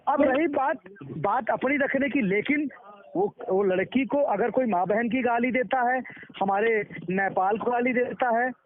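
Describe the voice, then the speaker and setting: Very high-pitched man on a phone line. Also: unhurried. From Uttar Pradesh, India. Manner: emphatic, repeats words and phrases. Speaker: interview guest, performing artist. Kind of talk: phone interview answers. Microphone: phone call. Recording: thin, muffled phone line.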